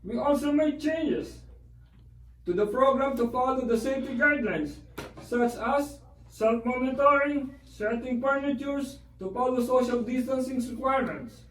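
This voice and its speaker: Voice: high voice, moderate pace, slight background noise, close to an everyday voice. A man voicing a polite, cheerful monkey puppet as a guest on a scripted puppet show, reading his answers carefully. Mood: polite, earnest. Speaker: interviewed guest who works in a day program. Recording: echoey room, far from mic.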